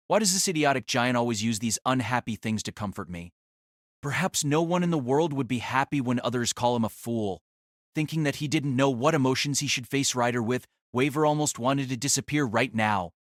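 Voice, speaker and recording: A man's voice: medium pitch, animated, fairly quick, fairly bright tone, no room sound. Male story narrator, dry and close. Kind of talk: dramatic scripted story narration.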